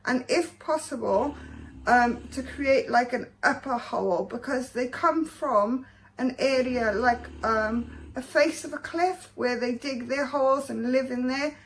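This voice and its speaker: A woman's voice: sits high, a little slow, a little hiss or hum, low-quality recording. Woman playing a chatty male spider keeper. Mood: earnest. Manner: informative, steady, reads facts. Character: male tarantula keeper giving a care guide. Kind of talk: parody of a pet-care video. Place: small room lined with spider tubs.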